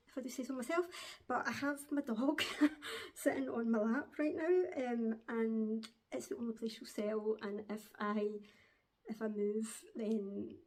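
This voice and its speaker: A Glaswegian woman hosting a crafting podcast from home, chatting casually to camera, hesitant with frequent ums and quick laughs. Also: a little high, darkish tone. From Glasgow, Scotland. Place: at home, seated with a dog on her lap.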